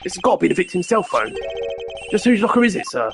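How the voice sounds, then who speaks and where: A man voicing an earnest, excitable police detective, loud and deferential to his superior. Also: high voice, fast talker, creaky, background noise, voice pushed up, heavily acted. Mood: surprised. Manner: eager, loud, ends sentences with sir.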